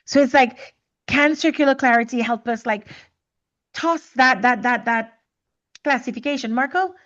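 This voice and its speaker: Female podcast host from Trinidad and Tobago, higher-pitched voice, searching conversational delivery, slightly muffled audio. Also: normal speed, fairly bright tone. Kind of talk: reflective conversational podcast talk.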